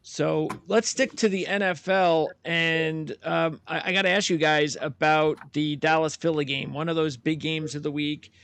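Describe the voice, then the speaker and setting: A man's voice: fairly high pitch, fairly even intonation, a bit bright, clean tone. A man working as a podcast producer, giving channel plugs and reading betting trends in an upbeat way with plenty of uh and um. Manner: upbeat, fills pauses with uh and um.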